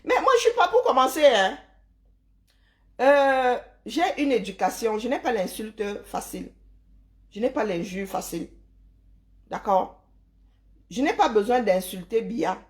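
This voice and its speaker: A woman's voice: a little high, animated, measured pace, long pauses. A woman from Cameroon, hosting a live stream and lecturing her viewers emphatically, with rhetorical questions and tag words like "hein". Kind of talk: opinionated live-stream monologue.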